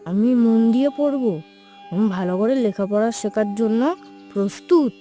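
A woman's voice: fairly high pitch, unhurried, warm tone, faint music behind, close to an everyday voice. A woman voicing an obedient, eager little schoolboy in a cartoon, speaking politely and carefully.

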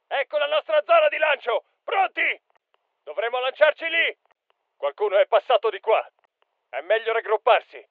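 Urgent high-pitched soldier, muffled sound. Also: male voice, no echo. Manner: clipped, emphatic military delivery. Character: urgent male special forces soldier calling out battlefield alerts. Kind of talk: video game combat callouts.

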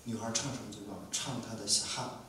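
A man from Inner Mongolia explains music to a seated audience, unhurried and full of small fillers. His voice sits at an ordinary pitch. It is picked up from a distance in a very echoey lecture room with faint background noise. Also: fairly even intonation, bright, forceful tone. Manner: measured explanation with many fillers. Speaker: visiting musician. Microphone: mic held, heard from a distance.

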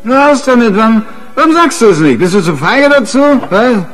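Volatile, sneering, bullying husband. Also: male voice, very high-pitched, big pitch swings, brisk, fairly bright tone, soft background music, heavily acted, poor audio. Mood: furious taunting. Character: menacing, bullying husband, taunting and contemptuous. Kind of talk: German radio crime drama acting.

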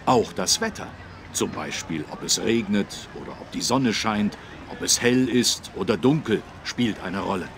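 A man playing a friendly policeman who courteously and clearly explains things to children in a learning game. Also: fairly low pitch, unhurried, a little hiss or hum. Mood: instructive, patient. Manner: instructive, courteous, clearly enunciated. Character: friendly adult male policeman explaining traffic rules to children.

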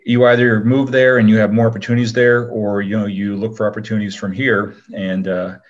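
A man's voice: lowish voice, little pitch movement, brisk, highs cut off. A middle-aged man talking casually and modestly as an interview guest, in a conversational way.

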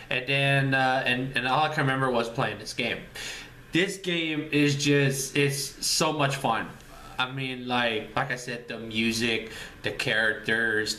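A man's voice: a little high, pushed and bright. A man of thirty talking casually to camera as the host of his channel, rambling and repeating himself in an upbeat, goofy way. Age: 30s. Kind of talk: casual vlog talk to camera. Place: small room indoors. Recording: echoey room, faint room noise.

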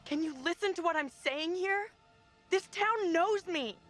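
Anxious young woman, very high-pitched. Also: measured pace, faint music behind. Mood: upset insistence. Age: young adult. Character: anxious, insistent, blunt young modern woman in danger. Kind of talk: naturalistic game cutscene acting.

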